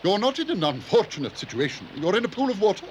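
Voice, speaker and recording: Pompous high-voiced male cartoon creature. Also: big pitch swings, quick pace, noisy background. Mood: pedantic, stern. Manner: pompous, precise, scolding. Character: fussy, indignant, pedantic male talking creature of Wonderland. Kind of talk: animated cartoon dub acting.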